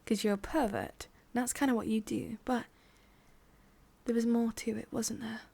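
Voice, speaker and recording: A woman with an English accent playing a sadistic, condescending female demon boss in an ASMR roleplay, speaking deliberately and slipping between soft voice and whisper. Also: a little high, steady tone, creaky. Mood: scornful, disappointed.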